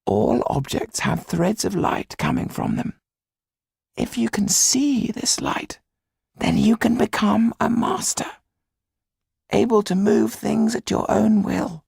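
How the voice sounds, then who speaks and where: Solemn older Romany storyteller, slow and grave, very breathy rasp with swooping pitch, audiobook drama. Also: male voice, very animated, dry recording, clean studio sound, heavily acted. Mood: hushed awe. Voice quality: whispery, gravelly, husky. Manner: slow, hushed, deliberate storytelling. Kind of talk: audiobook character reading.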